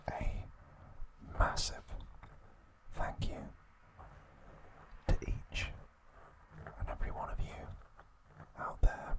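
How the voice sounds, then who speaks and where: A man hosting ASMR, whispering in hushed, drawn-out short phrases with frequent pauses. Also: poor audio. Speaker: host of an ASMR channel. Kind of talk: ASMR, whispered trivia talk and reading aloud.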